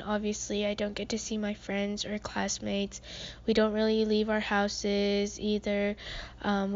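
A teenage girl from the United States reading a prepared podcast segment as co-host, earnest and measured, with frequent ums. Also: medium pitch, darkish tone, dull audio. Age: teens.

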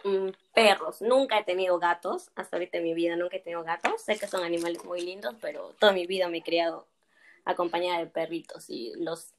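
A friendly woman in her early twenties answers interview questions, pausing and repeating herself as she thinks. Her voice sits a little low for a woman. A faint noise runs under the recording. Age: 20s. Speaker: guest.

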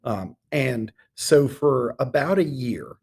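Man, middle-aged, husky medium-pitched voice, articulate, enthusiastic, ornate wording, theatre director in conversational interview. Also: animated, slow pace, a little mellow.

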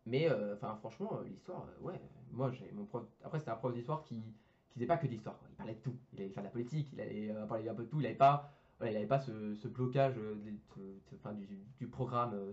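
A man's voice: on the low side, fast talker, highs cut off. A young man, an engineering student, chatting casually on a podcast, full of fillers, restarts and slang. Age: young adult.

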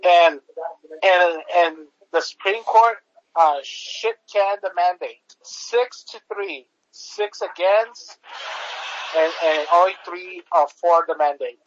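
Man, high-pitched co-host, halting delivery with stacked fillers, dry lo-fi sound. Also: fairly bright tone, slight background noise. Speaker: podcast co-host. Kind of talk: casual political chat.

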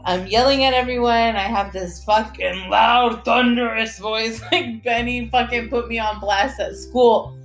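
Excitable woman hosting a chatty podcast. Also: faint music behind. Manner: excited, fast and high-pitched, stretching words, swearing often; drops Spanish phrases into her talk. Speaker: podcast host. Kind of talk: casual podcast monologue.

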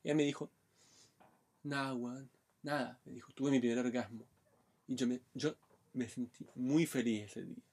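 A man's voice: medium pitch, steady tone, moderate pace, long pauses, noisy background. A man in his thirties from Brazil with a Brazilian accent, co-hosting a podcast in casual chat and telling frank stories full of Chilean slang. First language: Portuguese. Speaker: podcast co-host.

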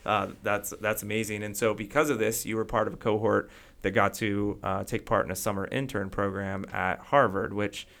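A middle-aged man from hosting a casual podcast interview, talking haltingly with many fillers and restarts. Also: on the low side, flat delivery, gravelly, fairly bright tone. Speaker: podcast host.

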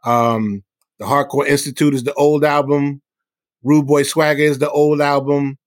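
A man's voice: a little high, flat delivery, moderate pace, no echo. Man from the South Bronx, dry sound. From South Bronx, New York. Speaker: guest, hip hop artist. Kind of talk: casual interview talk.

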